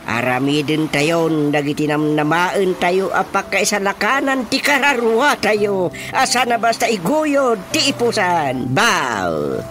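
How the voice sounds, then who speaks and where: A man's voice: high voice, very animated, takes it slowly, full character voice. An actor playing a talkative older village man with an Ilocano accent, chuckling as he muses on death and faith in a radio drama. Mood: musing. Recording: music under the voice.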